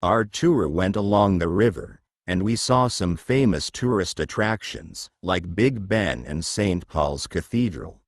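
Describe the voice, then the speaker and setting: A man reading a children's textbook story as scripted narration, in a measured, clear manner meant for learners. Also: deep voice, lively intonation, no echo, studio quality.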